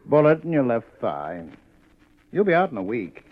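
An actor playing a dry, needling police lieutenant in a 1950s radio drama, with a deadpan manner. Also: male voice, mid-range voice, moderate pace. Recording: dull, faintly noisy 1950s radio recording.

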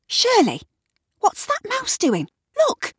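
A woman's voice: very high-pitched, huge pitch swings, drawn-out words, dry recording, clean studio sound, pitched above the performer's own voice, exaggerated voice. A woman voicing an alarmed, demanding woman in a comic audiobook story. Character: shrill, alarmed, demanding woman in a household farce.

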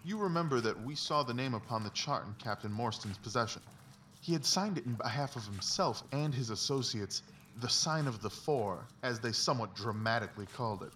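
A man's voice: mid-range voice, expressive, a little fast, fairly bright tone, lightly acted. A man playing a confident, analytical Victorian detective who explains things in a precise, emphatic, didactic way, as in a dramatised audiobook. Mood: brisk, assured explaining. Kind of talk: dramatised literary audiobook dialogue. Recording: distant mic, faint background noise.

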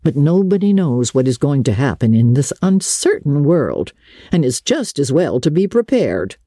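A woman puts on a practical, gruffly caring older woman from a classic novel. The delivery is no-nonsense and brisk, low in pitch with a husky edge. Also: sing-song, warm tone, no room sound, studio quality. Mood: practical, reassuring. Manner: brisk, matter-of-fact, no-nonsense. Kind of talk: dramatic audiobook reading of a classic novel.

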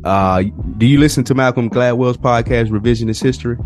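A man's voice: mid-range voice, fairly quick, over music. A man co-hosting a podcast, debating casually with a friend in informal, slangy speech. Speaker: podcast co-host.

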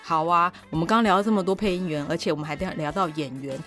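A Taiwanese Mandarin-speaking woman hosting a casual podcast, chatty and animated, with plenty of opinions. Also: lowish voice, fast talker, faint music behind. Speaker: podcast host.

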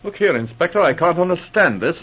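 Impatient military sidekick, quick, animated, slightly husky, vintage radio drama sound. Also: male voice, on the high side. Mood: puzzled, impatient. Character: eager, questioning male military marshal, inspector's sidekick. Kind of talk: 1940s radio drama acting. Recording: muffled old radio recording.

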